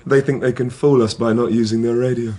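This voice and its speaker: A man's voice: medium pitch, fairly even intonation, a little fast, mellow, a little hiss or hum, natural voice. An actor playing a cold, scornful male terrorist bomber in a radio drama. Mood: tense, smug.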